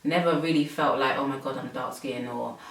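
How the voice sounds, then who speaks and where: A woman's voice: very deep voice, brisk, vocal fry, far from the mic. A young woman from England, hosting a casual chat and talking with many fillers. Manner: chatty, many fillers, laughs often. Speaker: host. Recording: roomy, from off mic.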